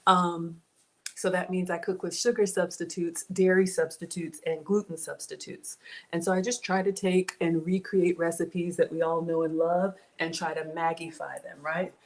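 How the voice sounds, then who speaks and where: Woman from ghana raised down south, slightly low voice, friendly chatter to her chat while she cooks in her kitchen. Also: flat delivery, normal speed. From born in Ghana, raised in the American South. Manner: chatty and warm with viewers, thanks supporters, says "y'all". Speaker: cooking livestream host. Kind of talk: casual live cooking stream, reading chat aloud. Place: home kitchen.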